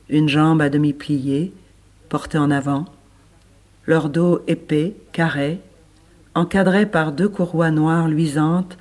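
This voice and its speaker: A man reading literary prose as scripted narration, with measured, deliberate phrasing. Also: fairly high pitch, a little mellow. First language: French. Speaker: reader of literary text.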